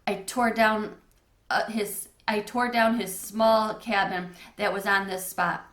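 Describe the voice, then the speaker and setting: A woman's voice: medium pitch, steady tone, slow pace, fairly bright tone, slight background noise. A woman reading a children's storybook aloud as narrator in an echoey room. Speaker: read-aloud reader.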